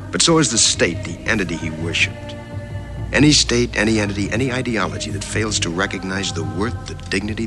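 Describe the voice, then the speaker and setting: Deep, solemn narrator over music. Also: male voice. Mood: grave verdict. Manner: solemn, clipped, deliberate, portentous. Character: grave male narrator warning of a dark future. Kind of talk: dramatic scripted narration, vintage TV anthology.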